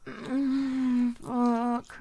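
A woman performing a dominant, teasing audio roleplay, speaking in soft whispers broken by low murmurs. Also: breathy edge.

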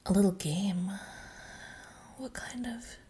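A young woman speaking in a breathy, intimate whisper with soft sighs, close to the microphone. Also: medium pitch, slow pace. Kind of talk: whispered audio roleplay.

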